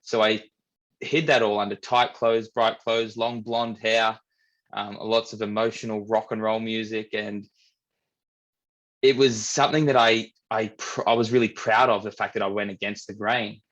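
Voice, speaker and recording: A man's voice: lowish voice, normal speed, highs cut off. Laid-back Australian man telling his story. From New South Wales, Australia. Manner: relaxed, candid, repeats words while thinking. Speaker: men's coach, guest. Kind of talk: casual storytelling interview.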